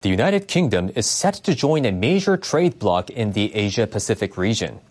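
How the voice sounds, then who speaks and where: A man's voice: lowish voice, very animated, moderate pace, a little mellow. A man delivering scripted news narration as a newsreader. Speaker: news reader.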